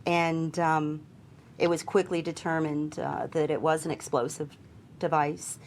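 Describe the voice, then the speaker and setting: A woman, a flight attendant, giving a measured and deliberate account in a documentary interview. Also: sits low, level tone, darkish tone, a little hiss or hum.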